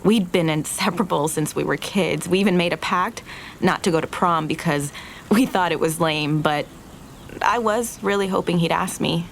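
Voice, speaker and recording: An actress playing an earnest, regretful young restaurant owner in an audio drama, confessing in a halting, naturalistic way. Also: female voice, lowish voice, creaky, slight background noise. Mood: wistful, regretful.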